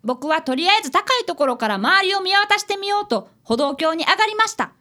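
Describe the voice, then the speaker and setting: A woman voices an eager fifth-grade boy in a detective radio drama. The voice is high, bright and animated. He is polite and earnest, with a Kansai accent, as he narrates and talks. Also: brisk, heavily acted. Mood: earnest explaining. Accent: Kansai Japanese.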